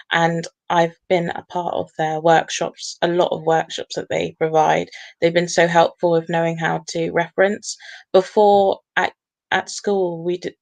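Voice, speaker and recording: A woman's voice: fairly low pitch, vocal fry. A woman who is a law student, speaking earnestly and steadily on a university panel. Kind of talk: panel discussion.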